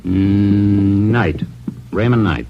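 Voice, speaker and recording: An actor playing a fussy, grudging hotel manager in a 1940s radio drama, talking in curt, clipped fragments. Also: male voice, lowish voice, drawn-out words, music in the background. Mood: guarded, curt. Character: grudging, penny-minded male hotel manager, fussy and unhelpful. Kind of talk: 1940s radio character acting. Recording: dull, faintly noisy vintage radio recording.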